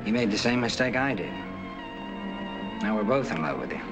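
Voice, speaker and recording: A man's voice: fairly low pitch, very animated, moderate pace. An actor playing a proud, determined American doctor in his thirties, a spurned lover, in 1940s film noir style. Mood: earnest pleading. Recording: 1940s film soundtrack with heavy music.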